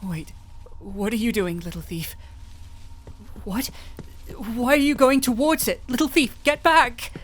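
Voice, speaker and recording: A woman playing a gentle, desperate doctor in a fantasy audio roleplay, with halting, emotive, breathy delivery. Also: a little high, slight background noise, close to an everyday voice. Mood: panicked, despairing. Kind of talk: dramatic audio roleplay acting.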